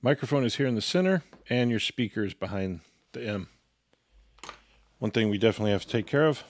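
A man's voice: fairly low pitch, animated, a little fast, no room sound. A man giving an instructional product walkthrough in a matter-of-fact, step-by-step way. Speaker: product reviewer and demonstrator.

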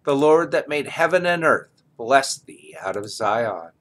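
Guy in his 60s reading the bible out loud, solemn and declamatory, medium pitch. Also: big pitch swings, measured pace. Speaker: scripture reader. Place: small indoor room, office chair.

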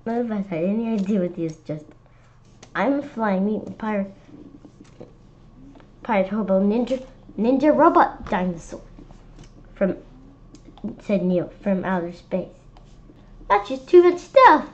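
A woman reading a children's book aloud slowly, stumbling and restarting, with lively voices for the characters. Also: mid-range voice, a little hiss or hum. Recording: slightly dull lo-fi sound.

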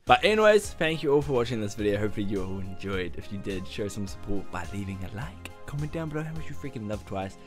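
Excitable young man, lively pitch. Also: quick pace, hardly any pauses, music in the background. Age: young adult. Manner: excitable, animated, exclamatory, slangy. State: morning voice. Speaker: reaction channel host. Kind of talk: excited music reaction vlog. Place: small bedroom indoors. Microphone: studio mic in front, headphones on.

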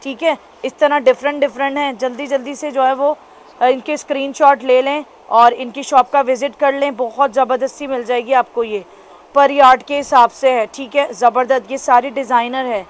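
A woman with a Karachi Urdu accent touring a clothing shop as a shopping vlogger, excitedly repeating prices and praise. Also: high-pitched, moderate pace. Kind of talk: upbeat shop-tour vlog. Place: busy clothing shop indoors.

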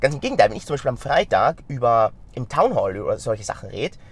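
A middle-aged man with a slight stuffy cold, talking straight to camera from inside a car, energetic and gesturing as he goes. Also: mid-range voice, fast, breathy edge. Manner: energetic, gesturing with his hands, talks straight to the viewer. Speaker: vlogger and company founder. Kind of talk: casual talk to camera. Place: inside a car in city traffic. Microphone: phone camera mounted in the car.

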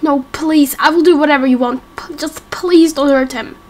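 A woman's voice: high-pitched, fairly even intonation, moderate pace, close to an everyday voice. A woman voicing a frightened young boy in an amateur game roleplay, hesitant, stammering and pleading. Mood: panicked, desperate.

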